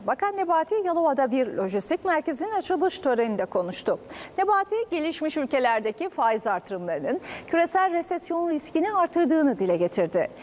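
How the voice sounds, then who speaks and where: A young woman reading business news as a studio anchor, in a crisp, measured newsreader delivery. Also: high voice, a little mellow, a little hiss or hum.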